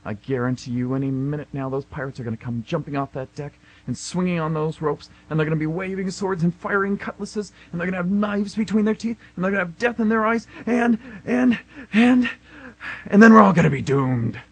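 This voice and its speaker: A man voicing a panicky, excitable goldfish with frantic, exaggerated alarm in an amateur radio comedy. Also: high-pitched, fairly quick, husky. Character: panicky, excitable male goldfish afraid of pirates.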